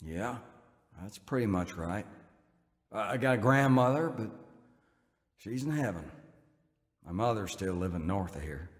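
An actor playing a tough career criminal with rough, slangy street talk, in a radio drama. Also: male voice, lowish voice, animated, unhurried, pauses often, no echo, studio quality. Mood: subdued. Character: tough, broke career criminal, jailed, turning repentant.

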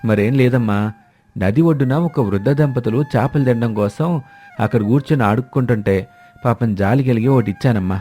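A man acting mellow young village fisherman. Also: medium pitch, brisk, natural voice. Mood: earnest. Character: kind-hearted young village fisherman, friendly and earnest. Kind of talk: animated moral story dialogue.

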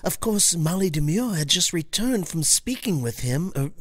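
Earnest detective, high for a man, animated, dry studio, audio comedy. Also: moderate pace, no echo. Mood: reasoning. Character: earnest, inquisitive male private-eye sleuth and narrator. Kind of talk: comedy audio drama dialogue and narration.

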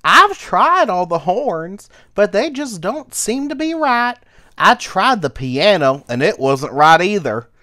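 A man voicing a shy, sad young female cricket who speaks timidly and haltingly, sometimes through tears. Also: high-pitched, sing-song, pushed and bright, voice pushed up, exaggerated voice. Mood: musing.

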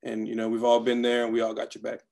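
A man's voice: medium pitch, completely flat delivery, quick pace, creaky, darkish tone. American man sharing casual reflections. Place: video call.

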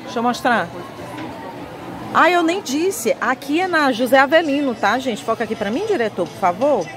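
A woman's voice: high-pitched, lively intonation, moderate pace, music in the background, a crowd in the background. A woman with a Northeastern Brazilian accent presenting a shopping vlog in an enthusiastic, chatty sales style, addressing viewers as friends. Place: indoor market lingerie stall.

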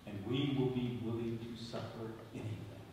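A man preaching a homily as a bishop, solemn and deliberate, speaking phrase by phrase with emphatic stresses in a large church. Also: medium pitch, steady tone. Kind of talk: sermon. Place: large stone-walled church, at the ambo before a congregation. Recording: very echoey church, distant mic, faint noise.

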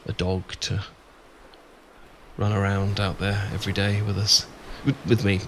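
Man acting a low-voiced, adorkable British friend. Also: completely flat delivery, a little slow. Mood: bashful, rambling. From Britain. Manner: hesitant, stammering, rambling. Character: adorkable, awkward, bashful young male friend with a crush. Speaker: ASMR roleplay voice performer. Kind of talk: ASMR boyfriend roleplay, improvised-sounding casual chat. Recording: clear background noise with ambience.

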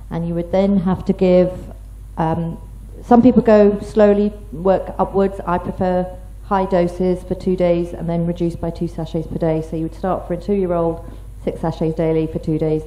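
A woman explaining treatment as a doctor on a medical panel, in a measured way. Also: fairly low pitch. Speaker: paediatrician panelist. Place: conference hall, seated at panel table. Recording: dull conference microphone recording.

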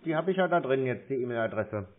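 A man's voice: medium pitch, lively intonation. Hesitant man on a tinny phone line. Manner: hesitant, slow-witted, rambling explanations. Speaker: caller posing as a confused customer. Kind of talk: casual phone conversation.

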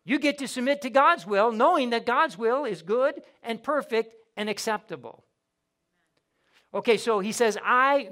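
Guy preaching a sunday sermon, fairly high voice, gets loud then drops way down. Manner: emphatic preaching, rising and falling in volume, repeats words. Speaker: pastor. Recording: audio of a church sermon.

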